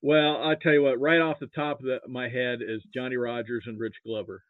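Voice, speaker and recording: A man's voice: medium pitch, level tone, dry recording. Male interview guest from Nebraska, casual and rambling, repeats himself, narrow telephone-like sound. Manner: rambling, halting, repeats words. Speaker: interview guest, sports history archivist. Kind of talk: casual reminiscing about football.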